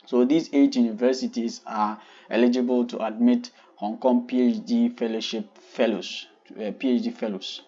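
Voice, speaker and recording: A man's voice: medium pitch, steady tone, normal speed, dark tone, highs cut off. A young man from Ghana hosting his own channel, earnestly walking viewers through funding details step by step in a polite, explanatory way. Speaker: channel host. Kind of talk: scholarship explainer vlog.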